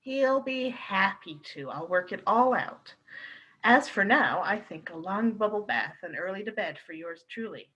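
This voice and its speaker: An actress in a radio play playing a loyal, spirited and protective London wife, in a theatrical style. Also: female voice, medium pitch, lively intonation, unhurried, a bit bright, slightly rough, highs cut off. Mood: affectionate, brisk.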